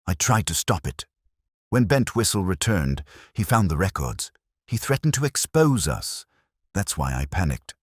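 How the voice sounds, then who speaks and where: A man's voice: sits low, big pitch swings, unhurried, slightly rough, no room sound, clean studio sound, pitched above the performer's own voice, full character voice. A man voicing a guilty, hopeless confessor in a mystery story. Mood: desperate. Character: panicked, guilt-ridden confessor in a parish scandal.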